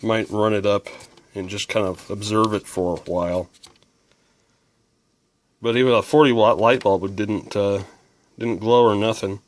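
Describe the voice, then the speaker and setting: Casual male hobbyist presenter with a lowish voice, talking through a hands-on repair as thoughts occur. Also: steady tone, measured pace, pauses often. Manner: musing, thinking aloud.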